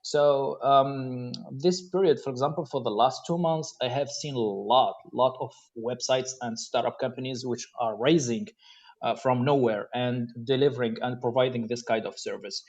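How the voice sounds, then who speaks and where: A man's voice: medium pitch, steady tone, normal speed. A man from Morocco, a payments business manager, speaking as a guest on a video call, hesitant and repeating words. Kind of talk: casual remarks on a video call. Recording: dull lo-fi call audio.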